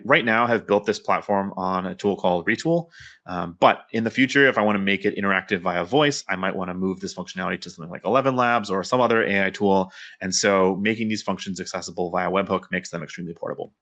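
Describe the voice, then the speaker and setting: A man's voice: deep voice, fairly bright tone. A man giving a technical conference talk, explaining things in an informal, conversational way. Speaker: conference speaker, developer and pilot.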